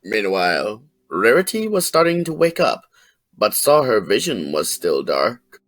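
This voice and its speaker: A woman's voice: very deep voice, sing-song, unhurried. A woman narrating a scripted fan fiction story as its storyteller. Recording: faint music behind the voice.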